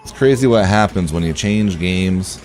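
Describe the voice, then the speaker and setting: A man with a relaxed voice, chatting casually to viewers as he streams a game and thinks aloud. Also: low-pitched, normal speed, a little hiss or hum. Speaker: game livestreamer. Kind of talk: casual livestream chat over gameplay.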